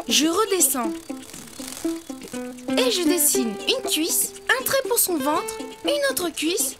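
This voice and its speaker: A woman's voice: extremely high pitch, heavily acted. A woman voicing a cheerful, confident young boy character in a preschool cartoon, explaining things in a friendly way to young viewers. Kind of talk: preschool cartoon voice acting, drawing tutorial.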